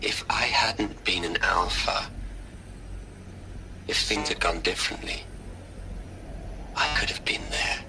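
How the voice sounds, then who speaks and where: An actor playing a bitter, grieving older scientist, speaking ruefully and deliberately in a video game audio log. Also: male voice, lowish voice, animated, creaky, full character voice, rough recording. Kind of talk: scripted audio log monologue. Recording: music behind the voice. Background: music.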